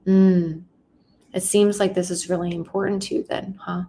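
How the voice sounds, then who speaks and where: A woman sales coach, soft-spoken and empathetic, who teaches through role-play and keeps checking in with "right?". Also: lowish voice, little pitch movement, normal speed, slight background noise.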